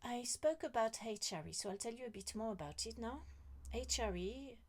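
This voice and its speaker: A middle-aged woman talking slowly and rambling to her viewers, often trailing off into a whisper. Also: on the high side. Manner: slow, rambling, musing, often drops to a whisper. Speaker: vlogger. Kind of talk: casual monologue to camera.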